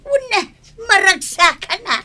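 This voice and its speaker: A woman's voice: extremely high pitch, very animated, unhurried, sharp tone, heavily acted. A woman acting a chatty, teasing village woman in a comedic audio drama.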